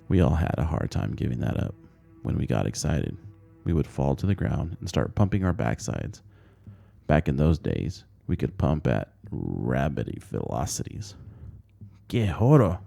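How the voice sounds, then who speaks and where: Male audiobook narrator, extremely deep, mellow tone, creaky, faint music behind. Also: lively intonation, moderate pace. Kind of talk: scripted story narration.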